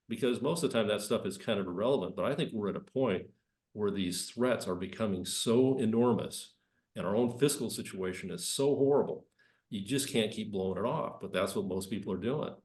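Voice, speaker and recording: A man's voice: fairly low pitch, darkish tone, reverberant. A man with a cold giving conversational market commentary as presenter, talking in loose, rambling sentences. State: has a cold. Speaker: investment presenter.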